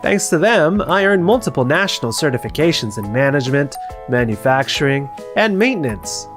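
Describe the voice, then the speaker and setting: A man playing a calm, capable young factory worker in a scripted manga dub, composed and matter-of-fact. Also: fairly high pitch, moderate pace, music in the background.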